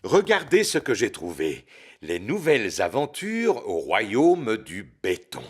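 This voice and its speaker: A big, jolly, bumbling father pig for a children's cartoon. His voice is deep and swoops widely, with faint music behind it. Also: huge pitch swings, takes it slowly, exaggerated voice. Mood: sheepish. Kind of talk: French children's cartoon dubbing.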